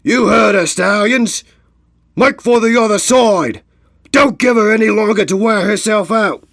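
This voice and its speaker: A man's voice: high-pitched, measured pace, vocal fry, no room sound, studio quality, voice pushed up, full character voice. A man voicing a commanding but courteous older male pony foreman in an audio story, calling out to his crew. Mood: rousing. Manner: rousing, shouting orders.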